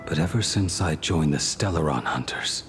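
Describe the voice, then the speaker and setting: A man playing a stoic, world-weary ancient swordsman in a video game, grave and terse, his phrases often sinking into a breathy hush. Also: extremely low pitch, lively intonation, moderate pace, over music. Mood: stoic, reserved. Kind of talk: dramatic video game voice acting.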